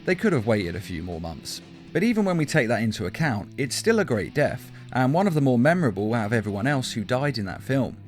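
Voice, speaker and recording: Man, mid-pitched, very varied intonation, scripted list-video narration, emphatic fan commentary, backing guitar music. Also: very animated, fairly quick. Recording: music behind the voice.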